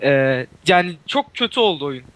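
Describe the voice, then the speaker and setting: Tiz, parlak sesli erkek oyun arkadaşı. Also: male voice, a little high, big pitch swings, normal speed, a bit bright, dry recording. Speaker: friend and guest co-commentator. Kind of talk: casual chat during a game.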